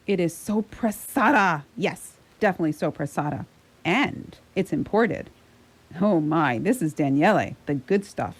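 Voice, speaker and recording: An actor playing a clumsy middle-aged would-be superhero, muttering wryly in a radio comedy. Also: male voice, high voice, heavily acted. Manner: wry, muttering, groaning self-talk. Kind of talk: live radio comedy drama acting.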